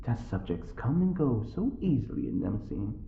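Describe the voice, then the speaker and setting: An actor playing a smug, well-bred prisoner with a sly wit, speaking in an arch, mock-polite drawl in an audio drama. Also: male voice, mid-range voice, sing-song, normal speed, vocal fry, echoey room, dull audio, a little hiss or hum. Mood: defiant, arch. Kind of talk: scripted audio drama acting.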